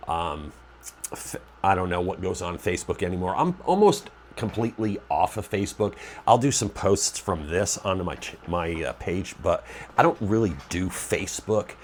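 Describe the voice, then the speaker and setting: Very low-voiced congested man. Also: animated, normal speed, slight background noise. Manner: rambling, conversational, stops to correct himself. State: congested, nasal from a cold. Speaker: preparedness channel host. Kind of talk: casual talk to camera.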